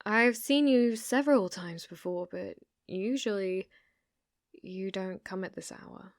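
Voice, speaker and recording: A woman performs a sweet British shop clerk for an ASMR roleplay, with an obsessive streak under the shyness. She speaks slowly and softly in a hesitant, solicitous way, very close and dry with no room sound. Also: medium pitch, no room sound, lightly acted. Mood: curious, concerned. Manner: hesitant, apologetic, solicitous, with soft giggles. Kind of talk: soft-spoken ASMR roleplay acting.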